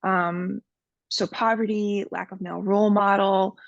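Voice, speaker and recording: A woman talks openly as a podcast guest, her voice carrying a gravelly fry and a steady middle pitch. She speaks earnestly, with plenty of "um" and "like". The sound is close, dry and a bit dull. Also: measured pace, no room sound. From Miami, USA. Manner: earnest, candid, many fillers. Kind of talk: personal interview talk.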